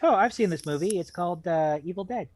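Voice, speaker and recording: A man's voice: high voice, expressive, fast, darkish tone, slight background noise. A man co-hosting, chiming in with casual banter and commentary on a reading.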